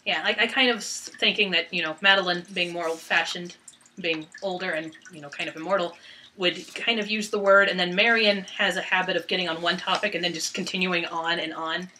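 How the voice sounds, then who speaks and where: A woman with a bright but slightly low voice explains her writing choices in casual talk. There is faint noise behind her. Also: steady tone, brisk, poor audio. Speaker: writer answering feedback on own script. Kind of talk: casual group discussion.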